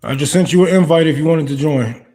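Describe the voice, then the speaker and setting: A man's voice: a little high, quick pace, mellow. A man with a Detroit accent hosting a livestream, ranting with heavy profanity, then reading chat and thanking donors. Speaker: livestream host. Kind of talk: casual livestream commentary.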